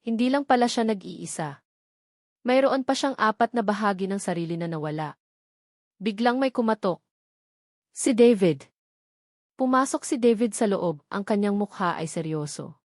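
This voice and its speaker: A woman's voice: mid-range voice, lively intonation, moderate pace, long pauses, a little mellow, no echo, clean studio sound. A woman narrating a scripted love story in a dramatic storytelling style.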